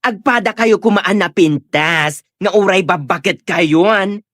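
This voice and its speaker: A woman's voice: mid-range voice, unhurried, a bit bright, dry recording, clean studio sound. A woman playing an exasperated village peacemaker who admonishes people emphatically, in a comedic audio drama. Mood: scolding. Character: scolding village woman, exasperated peacemaker among quarrelling elders. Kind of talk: comedic Ilocano radio-style drama acting.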